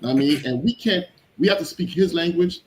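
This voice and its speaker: A man with a slightly raised pitch argues passionately on an online panel and leans hard on his key words. Faint background noise sits under the voice. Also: normal speed, a little mellow, low-quality recording. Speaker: panel member.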